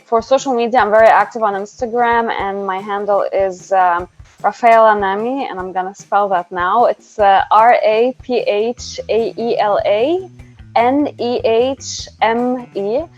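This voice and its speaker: A woman's voice: medium pitch, measured pace, soft background music. A young adult woman from Canada, a singer-songwriter guest chatting casually and hesitantly, with many fillers and restarts. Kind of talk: casual interview chat. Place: home, remote call. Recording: slightly dull lo-fi audio.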